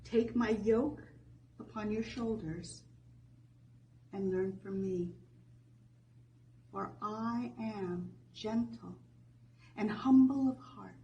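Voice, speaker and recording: A woman preacher giving a sermon in a gentle, deliberate manner, phrase by phrase. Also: mid-range voice, dull audio. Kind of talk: sermon, conversational reflection and scripture reading. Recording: distant microphone, faint background noise.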